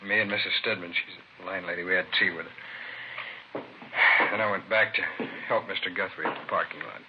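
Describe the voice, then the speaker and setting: A dull old radio recording with faint hiss holds a man acting a distraught witness. He pleads haltingly and emotionally in a slightly low voice, in 1950s drama style. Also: steady tone, natural voice. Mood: grieving, halting. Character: distraught, pleading male parking-lot attendant witness.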